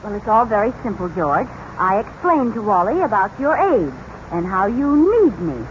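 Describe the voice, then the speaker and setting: An actress playing a flustered, good-natured housewife in 1940s radio comedy, with theatrical, exclamatory delivery. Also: female voice, medium pitch, very animated, normal speed, lightly acted. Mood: coaxing. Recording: muffled, noisy old radio recording.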